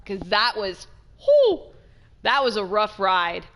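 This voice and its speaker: A woman giving casual, chatty gameplay commentary as a streamer, expressive and trailing off into asides. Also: mid-range voice, takes it slowly. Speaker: game streamer.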